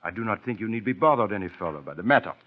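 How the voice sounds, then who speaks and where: An actor playing a vain, courteous foreign detective with a French accent and ornate broken English, in old radio drama style. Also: male voice, on the low side, animated, rapid-fire. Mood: courteous, probing. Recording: dull, band-limited old radio recording.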